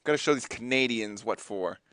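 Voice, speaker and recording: A man from Texas with a Texan accent, co-commentating a fighting-game tournament in relaxed, chatty banter. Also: lowish voice, fairly quick, dry recording, clean studio sound. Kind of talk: live esports commentary.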